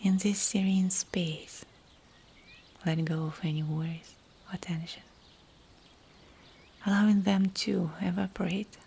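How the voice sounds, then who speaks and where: A woman leads a guided visualization in a soft, breathy whisper. Her delivery is very slow and soothing, with a dark, mellow tone and hushed, spaced-out phrases. Faint nature ambience sits behind her voice. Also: on the low side, a little hiss or hum. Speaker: meditation guide. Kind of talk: guided visualization meditation, scripted narration.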